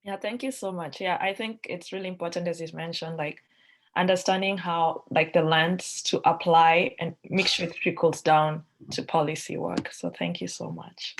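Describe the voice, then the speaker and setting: A woman's voice: lowish voice, steady tone, moderate pace, vocal fry, rough recording. A woman moderating a panel, thanking the speaker in a brief, casual way.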